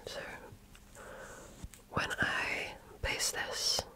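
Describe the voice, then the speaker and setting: A woman whispering softly and breathily in an ASMR medical exam role-play, speaking gently and attentively like an examiner. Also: drawn-out words.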